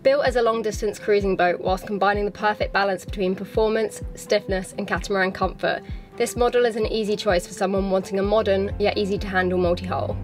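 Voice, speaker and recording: A woman's voice: mid-range voice, fairly even intonation, a little fast, soft background music. Bubbly young woman vlogging aboard. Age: young adult. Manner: bubbly, laughs between phrases. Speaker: vlog host. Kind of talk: casual vlog narration and chat. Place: cabin of a sailing catamaran.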